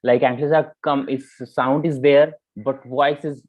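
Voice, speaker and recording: A man with an Indian accent giving informal spoken feedback as a mentor, halting and repeating himself, often checking in with "you know". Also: mid-range voice, fairly even intonation, darkish tone. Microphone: video call. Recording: muffled, band-limited online call audio.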